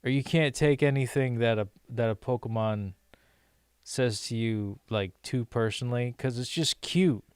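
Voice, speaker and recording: Give me a young American man chatting to viewers in a relaxed, rambling way, mid-pitched voice. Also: normal speed, smooth, no echo, studio quality. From United States. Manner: chatty, rambling, many restarts and fillers. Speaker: language-learning vlogger. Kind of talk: casual rambling monologue to viewers.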